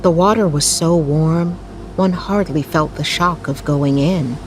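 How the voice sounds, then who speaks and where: Slow, low-voiced woman narrating a bedtime story. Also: slight background noise, poor audio. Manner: measured, literary read-aloud delivery.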